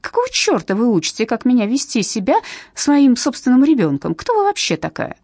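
A woman narrator voicing a distraught imprisoned mother who pleads and flares up indignantly, her voice raised and higher than her own. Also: a little fast, mellow, husky, no room sound, clean studio sound, natural voice. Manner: pleading, shrill outbursts.

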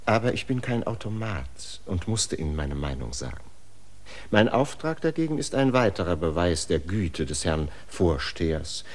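Radio drama: a prim, lecturing teacher, very deep voice, lively intonation. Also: male voice, moderate pace. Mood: officious. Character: stiff pedantic male village schoolteacher. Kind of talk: radio play acting.

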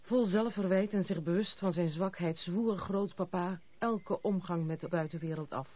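A woman's voice: lowish voice, moderate pace, close to an everyday voice. An actress playing a proud, outspoken young noblewoman with some bitterness in a Dutch radio play. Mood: bitter, confiding. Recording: muffled, noisy, narrow-band old radio recording.

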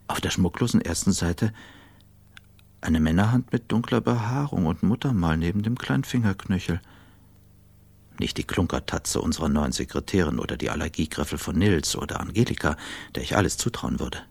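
An anxious man narrating his own unease in a radio play. The voice is husky, creaky and lowish, brooding and intimate, as if accusing himself. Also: no room sound, heavily acted. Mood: self-accusing. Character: anxious, self-accusing male business traveller, inwardly narrating. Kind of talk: radio drama inner monologue.

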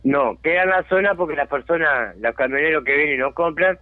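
A man's voice: fairly high pitch, a little fast, no echo. A Uruguayan man and settlement spokesman, talking insistently and indignantly in a radio phone interview, often asking if he is understood. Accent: Uruguayan Spanish. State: has a chronic lung disease. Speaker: settlement resident and community spokesman. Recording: dry tinny phone line.

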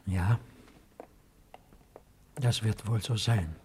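An ancient emperor in a 1950s German radio play. The voice is lowish and very slow, solemn and weary, weighing each phrase with proud, brooding gravity. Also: male voice, dark tone, slight background noise. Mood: hushed, watchful. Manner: slow, grave, measured declamation.

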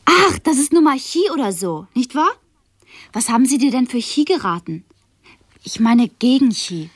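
A woman's voice: on the high side, very animated, normal speed, no echo, studio quality. An actress playing an anxious female companion of an invalid in a radio play, coaxing and probing with questions. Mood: doubtful.

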